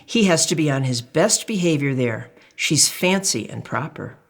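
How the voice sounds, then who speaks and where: A woman's voice: low-pitched, expressive, moderate pace. An older woman reading a children's picture book aloud expressively, as a storyteller.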